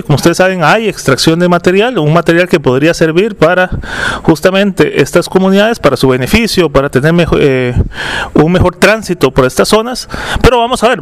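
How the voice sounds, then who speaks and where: A man, a field reporter rambling through his questions with fillers and corrections. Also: fairly high pitch. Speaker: reporter interviewer. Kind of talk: field interview.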